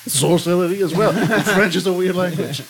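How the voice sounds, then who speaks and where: A man's voice: sits high, moderate pace, a little hiss or hum. A young man joking around in character as a tabletop game player, laid-back, swearing casually and laughing often. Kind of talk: improvised in-character banter.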